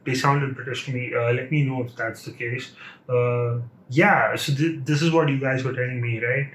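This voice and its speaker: A man reacting to a video, chatting casually with lots of fillers and restarts. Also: medium pitch. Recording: echoey room with faint noise.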